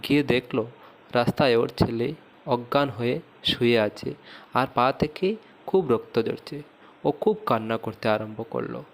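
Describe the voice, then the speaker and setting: A man's voice: mid-range voice, unhurried, creaky, low-quality recording. A man narrating a scripted moral tale for a cartoon as its storyteller.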